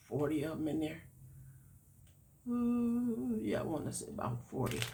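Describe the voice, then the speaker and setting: A man, congested and a bit raspy, chatting folksily with viewers in a product-review vlog. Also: mid-range voice, noisy background. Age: 60s.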